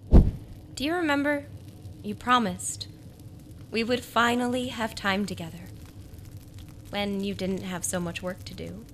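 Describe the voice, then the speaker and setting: An actress playing a lonely, devoted wife in a radio noir monologue, halting and wistful as she confides. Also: medium pitch, steady tone, noisy background, lightly acted. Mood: wistful, confiding. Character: lonely, devoted wife quietly confessing her secret.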